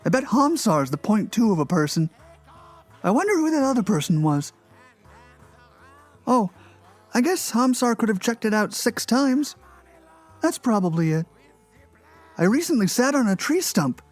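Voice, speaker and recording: Morose, earnest cartoon blogger played by a woman, raspy and animated in pitch, deadpan wit. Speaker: performer in a podcast dramatic reading. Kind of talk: dramatic reading of a comic blog.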